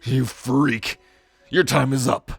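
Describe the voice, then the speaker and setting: A man's voice: medium pitch, fairly even intonation, takes it slowly, gravelly, slightly rough, full character voice. Cocky disguised storm god, played by a man: mid-range male voice, blunt and short-tempered, fantasy audio drama over faint music. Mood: angry. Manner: blunt, irritable, casual swearing. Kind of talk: scripted fantasy audio roleplay.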